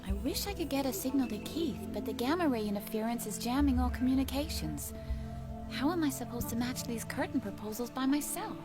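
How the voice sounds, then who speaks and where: A woman's voice: high voice, expressive, a little fast, music in the background. An actress playing an exasperated female space-station doctor with a wry, politely guarded manner.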